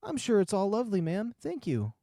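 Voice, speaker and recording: A man's voice: high-pitched, huge pitch swings, brisk, gravelly, dark tone, dry recording, studio quality, pitched above the performer's own voice, heavily acted. A man voicing a polite, earnest young male animal character in a visual novel. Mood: polite, grateful.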